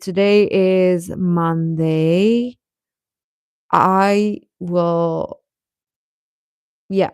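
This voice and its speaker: A woman hosting a casual livestream, chatting to viewers in a gentle, encouraging, affectionate way. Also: lowish voice, vocal fry, no room sound, studio quality. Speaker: livestream host.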